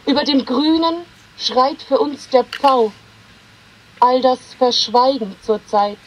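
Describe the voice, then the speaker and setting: A woman giving a literary reading of poems, with measured, deliberate phrasing and pauses between short lines. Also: high voice, fairly even intonation, rough recording. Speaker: poetry reader.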